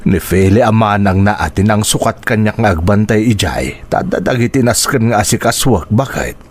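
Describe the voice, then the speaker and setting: A man's voice: lowish voice, animated, normal speed, darkish tone, slightly rough. A man playing a stern older Filipino father. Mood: stern, troubled. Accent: Ilocano Filipino. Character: stern, burdened older village father of two daughters. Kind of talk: radio drama acting.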